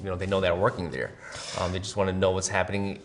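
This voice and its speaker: Quick American male co-host, lowish pitch, agreeable casual explainer. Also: level tone. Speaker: co-host, police scanner expert. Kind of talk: casual explanatory talk in a tutorial video.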